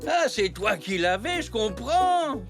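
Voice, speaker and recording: An old cartoon zebra grandfather, speaks at a leisurely pace. He is mild, agreeable and easygoing, and music plays loudly behind him. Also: extremely high pitch, very animated, a little slow, full character voice. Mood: understanding. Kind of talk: French children's cartoon dubbing.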